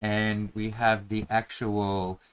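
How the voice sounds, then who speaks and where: A man's voice: lowish voice, completely flat delivery, slow, smooth. A man hosting a casual chat while drawing a dungeon map, talking in a playful, rambling, self-mocking way.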